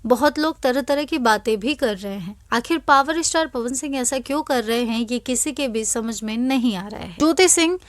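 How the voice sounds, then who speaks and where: A woman's voice: fairly high pitch, animated, normal speed, few pauses, no echo. A woman reading scripted celebrity news as an anchor, in an emphatic, dramatic storytelling way.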